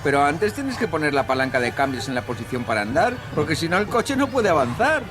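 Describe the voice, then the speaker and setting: An actor with a Castilian accent playing a calm, manipulative young killer in a radio drama, coaxing and falsely reassuring. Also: male voice, fairly high pitch, fairly quick. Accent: Castilian Spanish. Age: young adult. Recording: music bed under the voice.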